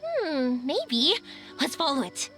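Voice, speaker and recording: A woman's voice: high voice, huge pitch swings, takes it slowly, music in the background, full character voice. An actress playing a cheerful, encouraging and playful young woman in a video game. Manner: bubbly, encouraging.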